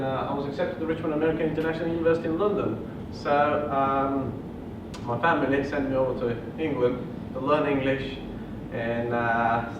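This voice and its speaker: Bulgarian-accented man, echoey function room. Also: mid-range voice. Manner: earnest storytelling with hesitant fillers. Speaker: property investor presenter. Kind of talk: seminar presentation. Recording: muffled, distant, echoey room with noise.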